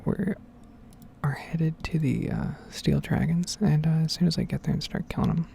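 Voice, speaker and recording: A man giving hesitant, rambling game commentary in a soft-spoken, whispered ASMR style. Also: fairly high pitch, steady tone, normal speed, vocal fry, a little hiss or hum. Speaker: gaming video host.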